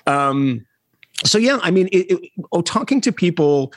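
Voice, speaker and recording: A middle-aged man, a reporter guest on a podcast, talking in an animated way with wry asides and chuckles. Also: high-pitched, a little slow, dry recording. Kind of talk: conversational interview.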